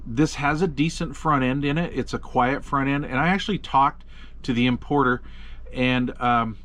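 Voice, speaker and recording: Laid-back man walking through a radio. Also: mid-range voice. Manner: relaxed, chatty, frequent ums and uhs. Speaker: radio reviewer. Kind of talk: casual product walkthrough.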